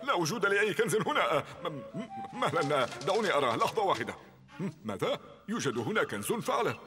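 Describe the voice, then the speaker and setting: A man's voice: on the high side, very animated, fairly quick. A man voicing a scheming male villain in an Arabic cartoon dub, wheedling and cackling. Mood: fake-friendly. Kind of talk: Arabic dub of a children's TV show. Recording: music bed under dialogue.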